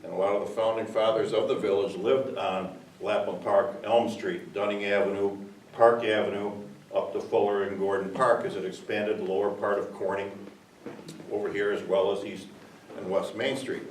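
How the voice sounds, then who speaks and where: An older man, a local resident, giving a loud and earnest public comment at a village meeting, rambling with fillers. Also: fairly low pitch, level tone, normal speed. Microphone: far room mic. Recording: echoey hall, distant mic, faint hiss.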